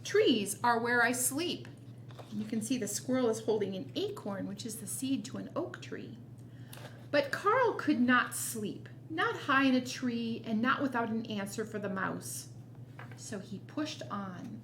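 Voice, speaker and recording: A woman hosting storytime, reading a children's picture book expressively, with quiet asides. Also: fairly high pitch, lively intonation, a little slow, a little hiss or hum. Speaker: storytime host.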